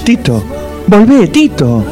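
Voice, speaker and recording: Comedy drama: male actor as flustered Buenos Aires buddy, evasive, slightly high voice, music behind. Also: huge pitch swings, slow, warm tone, exaggerated voice. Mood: concerned, urging. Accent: Argentine, Buenos Aires. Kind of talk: comedic audio drama acting.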